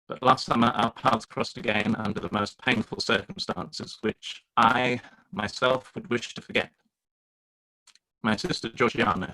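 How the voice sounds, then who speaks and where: A man playing Mr Darcy, a proud gentleman. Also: lowish voice, low-quality recording. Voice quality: formal, measured, stiffly polite. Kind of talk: live-read radio play acting.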